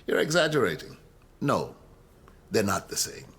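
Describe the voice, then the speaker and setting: An actor in a radio drama playing an arrogant, defensive middle-aged university professor, wordy and rhetorical. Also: male voice, on the low side, big pitch swings, moderate pace, fairly bright tone, husky, heavily acted. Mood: dismissive. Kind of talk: naturalistic radio drama acting.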